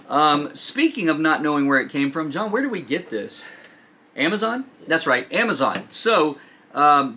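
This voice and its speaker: A man's voice: a little high, bright, forceful tone, breathy edge. A man hosting a casual, joking product demo, informal and teasing as he explains the features. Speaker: host of a product review show. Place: studio.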